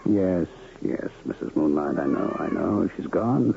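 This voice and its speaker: A muffled old radio drama with faint hiss. A sorrowful old man muses in a very slow, low voice. He sounds wistful and poetic, aching for lost love. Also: animated, gravelly, full character voice. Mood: resigned, tender. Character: sad, lovelorn old man grieving for his lost wife. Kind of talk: 1970s radio drama acting.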